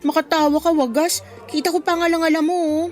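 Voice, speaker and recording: A woman's voice: extremely high pitch, flat delivery, fast talker, darkish tone, soft background music. A young woman with a Filipino accent playing a smitten, coy woman in a radio drama, plaintive and protective of her suitor. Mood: defensive, hurt.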